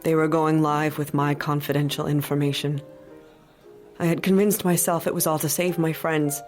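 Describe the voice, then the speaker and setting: A woman playing a cool, shrewd former public defender in an audio drama, speaking in a deliberate, wry, persuasive way. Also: sits low, level tone, darkish tone, faint music behind, close to an everyday voice. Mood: narrating.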